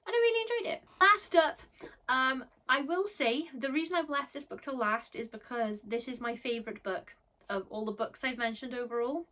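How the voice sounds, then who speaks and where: A woman's voice: sits high, normal speed, pushed and bright. A woman from Wales giving a casual, rambling book review with lots of fillers and a bashful, self-deprecating manner. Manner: chatty, rambling, many fillers.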